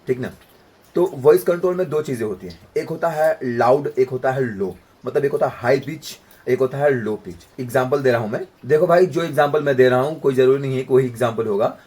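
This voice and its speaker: A man's voice: mid-range voice, a little fast, a little mellow, breathy edge. A man giving an informal voice practice lesson, explaining with everyday examples and checking in with the listener. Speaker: voice practice coach. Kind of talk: informal instructional talk with demonstrations.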